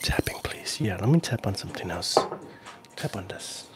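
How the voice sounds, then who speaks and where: A middle-aged man casually chatting with viewers on an ASMR live stream, drifting between soft murmured talk and whispers close to the mic. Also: sits low, lively intonation, normal speed. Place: small indoor gaming room. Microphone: handheld-style recorder mic close to the mouth.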